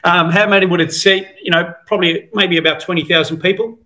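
A man's voice: high-pitched, fairly even intonation, quick pace, bright, forceful tone, low-quality recording. A man in his fifties, born in Italy, telling stories about his football past on a video call, rambling with many fillers and restarts. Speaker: guest, former footballer. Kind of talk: casual interview over video call. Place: home room, indoors. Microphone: video call, laptop camera.

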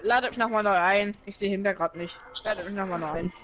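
A woman complaining quickly about her game view while playing with friends. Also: mid-range voice, fairly bright tone, lo-fi sound. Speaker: gamer. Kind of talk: casual let's-play banter.

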